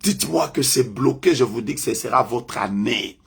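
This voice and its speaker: French-speaking prophet guy on a live prayer stream, rough gravelly voice, keeps declaring stuff and jumping loud. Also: mid-range voice, moderate pace. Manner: emphatic, repetitive proclamations with sudden loud rises.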